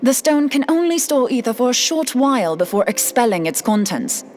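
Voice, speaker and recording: A woman's voice: on the high side, big pitch swings, moderate pace, darkish tone, soft background music. A woman playing a blunt, wry young scholar in a fantasy game, speaking in a plain, colloquial way. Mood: matter-of-fact. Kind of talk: fantasy game cutscene acting.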